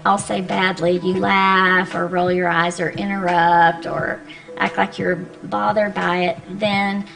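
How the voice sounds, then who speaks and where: A woman speech-language pathologist, a guest on a radio interview, explaining clearly and patiently with frequent ums. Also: fairly low pitch, level tone, a little slow, a bit bright, poor audio. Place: radio studio. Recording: radio studio with music behind.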